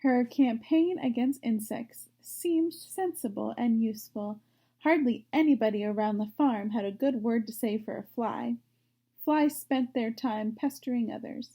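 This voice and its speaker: Woman doing kids read aloud, slow and creaky, soft dark tone, little explainer asides. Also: on the high side. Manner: explains words and story simply as for children. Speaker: story reader.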